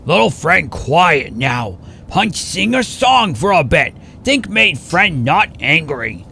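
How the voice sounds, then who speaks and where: Hulking gentle mutant, simple and childlike; wildly swooping, forceful delivery for audio drama. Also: male voice, on the high side, big pitch swings, takes it slowly, creaky, a little hiss or hum, full character voice. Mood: gentle, eager. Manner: broken childlike speech, refers to himself by name. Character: big friendly simple-minded green mutant brute.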